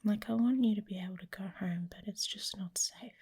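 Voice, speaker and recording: A woman voicing a protective, teasing werewolf mate in an intimate ASMR romance roleplay, speaking softly and casually, often slipping into a whisper. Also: medium pitch, brisk, slightly rough. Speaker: audio roleplay performer.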